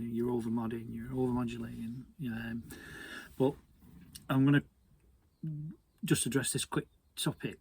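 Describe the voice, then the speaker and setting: Husky British man explaining informally. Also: mid-range voice, a little mellow. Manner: conversational, hesitant, repeats words. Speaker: hobby radio presenter.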